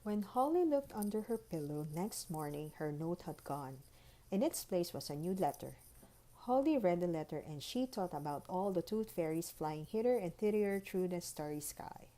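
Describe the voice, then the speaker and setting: Female storybook narrator for young children: slightly low pitch, very mellow, soft-edged tone. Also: animated, moderate pace. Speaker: school librarian reading aloud. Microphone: phone recording.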